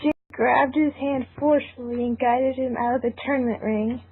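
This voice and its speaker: A woman's voice: high-pitched, fairly even intonation, moderate pace, husky. A woman narrating a fan-fiction story in a group reading, mixing scripted reading with casual chat. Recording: tinny narrowband voice call, faint noise, clicks.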